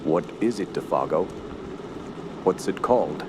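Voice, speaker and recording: An actor playing an earnest, fearful gentleman camper who tells a horror tale in solemn, literary radio drama style. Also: low voice, expressive, measured pace, background noise. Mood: concerned. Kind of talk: radio drama narration and dialogue.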